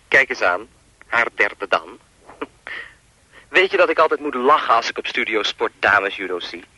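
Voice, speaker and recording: A menacing anonymous caller in a radio drama. His voice is strikingly sharp and forward, recorded very dry, with animated intonation. He rambles in an insinuating, falsely polite way, chatty and lewd as he taunts. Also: mid-range voice, a little slow, pauses often, breathy edge, dry recording, heavily acted, poor audio. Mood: lewd, teasing. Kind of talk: radio play acting.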